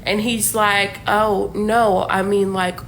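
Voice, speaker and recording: A woman's voice: medium pitch, little pitch movement, slow pace, a bit bright, slight background noise, poor audio. A woman in her twenties telling a dating story in a casual, rambling way, full of fillers and asides. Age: 20s. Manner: chatty, many fillers and asides.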